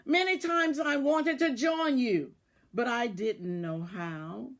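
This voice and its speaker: A woman reading a storybook, voicing a grumpy, lonely, hardworking female mouse in an emphatic, deliberate way. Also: fairly high pitch. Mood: earnest. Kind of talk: children's storybook read-aloud.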